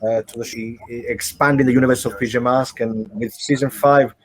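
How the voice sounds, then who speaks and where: A man with an Italian accent talks casually on a video call, answering fan questions and pausing often as he searches for words. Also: medium pitch, fairly even intonation, noisy background. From Italy. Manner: halting, searches for words in a second language. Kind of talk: casual online Q&A.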